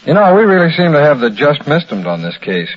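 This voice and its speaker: Methodical fbi agent in old radio drama, swingy delivery, dull recording. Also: male voice, a little high, sing-song, fairly quick. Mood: businesslike. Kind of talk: 1940s radio crime drama acting. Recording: muffled old radio recording, faint noise.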